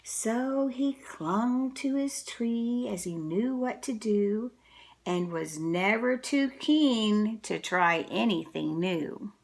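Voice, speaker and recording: Female storybook reader, teacher type: very unhurried, lively swooping pitch, touches of creak, dramatic delivery. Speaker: teacher reading a picture book to children. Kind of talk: expressive storybook read-aloud.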